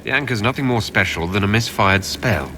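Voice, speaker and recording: An actor playing a blunt, haughty aristocratic hero in a fantasy video game, cool, clipped and formal. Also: male voice, on the low side, expressive, fairly quick, pushed and bright, slight background noise, poor audio. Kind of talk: video game character dialogue.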